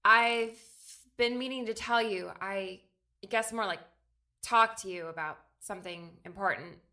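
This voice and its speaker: A woman's voice: medium pitch, unhurried, bright, forceful tone. A woman playing an earnest, hesitant young woman in an audiobook drama. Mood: nervous. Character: earnest, homesick young woman, eighteen in memories. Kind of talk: audiobook character acting.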